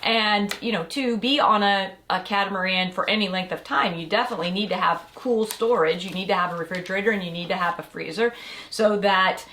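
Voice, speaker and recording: A middle-aged woman chats with her co-host, jumping in to finish his thoughts. Her voice sits at an ordinary pitch for a woman, and her tone stays conversational and easygoing. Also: fairly quick, bright, forceful tone, a little hiss or hum. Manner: chatty, finishes her partner's sentences. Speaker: podcast co-host. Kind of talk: casual conversational chat.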